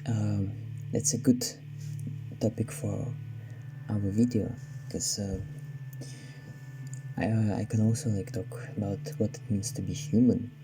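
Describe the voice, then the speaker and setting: A man's voice: on the low side, flat delivery, measured pace, pauses often, low-quality recording. A man giving casual, hesitant commentary while playing a game, his voice sardonic and a little down. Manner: sardonic, slightly down-sounding, hesitant with many fillers. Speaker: let's play gamer. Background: game music.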